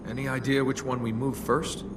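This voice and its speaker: An actor playing a cocky, flippant young adventurer in a video game, wry and teasing. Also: male voice, medium pitch, little pitch movement, brisk, noisy background, close to an everyday voice. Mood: casual, questioning. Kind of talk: video game cutscene acting. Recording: voice under loud music.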